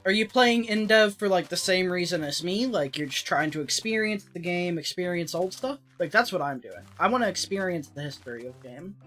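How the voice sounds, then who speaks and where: A streamer chatting casually through a let's-play, rambling with lots of filler words and getting a little raspy. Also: mid-range voice, lively intonation, brisk, few pauses, a bit bright, faint music behind. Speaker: streamer playing an old game version.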